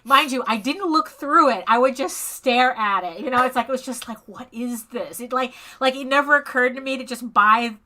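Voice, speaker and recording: Bright-voiced middle-aged woman, halting. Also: high voice. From Spain. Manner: halting, with frequent restarts and chuckles. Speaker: comic artist guest. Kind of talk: casual interview chat.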